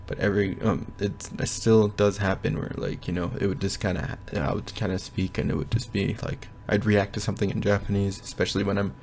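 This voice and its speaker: A young man giving a casual, rambling progress update from his bedroom, often pausing to think aloud. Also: low voice, level tone, creaky, darkish tone, a little hiss or hum. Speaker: language learner vlogger. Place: bedroom at home.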